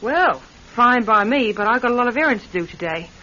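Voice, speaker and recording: Lively high-voiced maid, old radio. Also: female voice, a little fast. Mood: skeptical. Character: female household maid, plain-spoken and wary of her employer. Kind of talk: old-time radio drama acting. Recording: muffled vintage radio recording.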